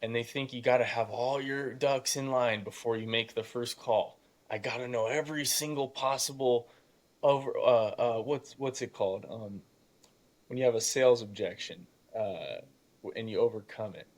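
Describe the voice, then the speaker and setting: A man's voice: mid-range voice, normal speed. A man of eighteen talking casually and emphatically as a podcast guest, with a youthful voice that can crack and a habit of acting out imagined dialogue. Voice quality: youthful voice that still cracks at times. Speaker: podcast guest, young real estate investor. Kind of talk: casual conversational motivational storytelling.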